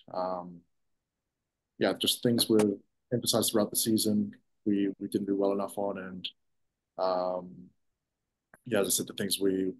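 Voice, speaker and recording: Footballer guy at a press conference, halting, lots of ums, fairly low pitched. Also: low-quality recording. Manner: halting, many restarts and fillers. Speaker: pro soccer defender. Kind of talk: postgame press conference answers. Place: press room in front of sponsor backdrop.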